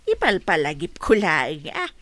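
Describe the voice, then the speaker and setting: A woman's voice: fairly low pitch, wildly swooping pitch, measured pace, fairly bright tone, dry recording, exaggerated voice. A woman playing a fussy, demanding mother of grown daughters in an Ilocano comedy drama, reproving in manner.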